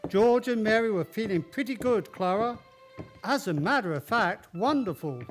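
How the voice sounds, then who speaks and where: Man acting a high-voiced storytelling angel. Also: animated. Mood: genial, storytelling. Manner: measured storytelling. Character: genial male angel telling a man's life story. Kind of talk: radio play narration. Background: music.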